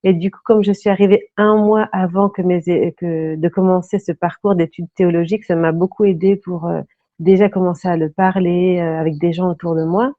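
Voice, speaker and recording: A woman in her thirties from Brittany, speaking as an interview guest in an earnest, reflective way, often pausing to find her words. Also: lowish voice, steady tone, dry recording. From Brittany, France. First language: French. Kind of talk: casual interview talk. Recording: dull, lo-fi, dry sound.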